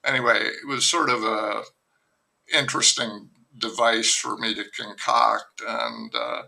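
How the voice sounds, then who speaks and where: An older man, a novelist guest, talking in a rambling, wry way with many fillers and restarts in a relaxed podcast conversation. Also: medium pitch, measured pace, creaky, low-quality recording.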